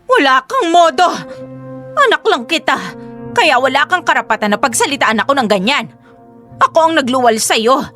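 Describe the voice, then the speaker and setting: Woman playing a domineering middle-aged mother, scolding, melodramatic, animated, faint music behind. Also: high-pitched, moderate pace. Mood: furious. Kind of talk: Tagalog radio drama acting.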